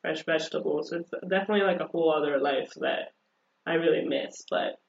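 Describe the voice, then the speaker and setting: A woman as an interview guest, talking in an upbeat, animated way with frequent fillers and laughter. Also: low voice, brisk, gravelly. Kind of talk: casual conversational interview. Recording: roomy dull lo-fi audio.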